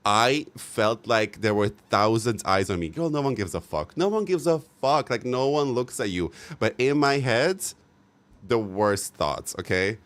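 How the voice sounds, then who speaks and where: A man's voice: medium pitch, expressive, measured pace, fairly bright tone. A young man talking casually to his livestream viewers, gushing and frank, with many fillers and repeats. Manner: chatty, frank and sometimes profane. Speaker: livestream host.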